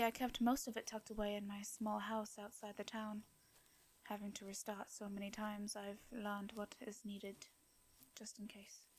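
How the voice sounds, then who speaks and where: A woman with an English accent playing a gentle, courteous vampire in an intimate fantasy audio drama, speaking softly and breathily in formal, hesitant phrases. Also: mid-range voice, slight background noise, close to an everyday voice. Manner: soft breathy delivery, formal old-fashioned diction, hesitant pauses. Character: gentle, courteous female vampire, centuries-worn, wistful yet devious.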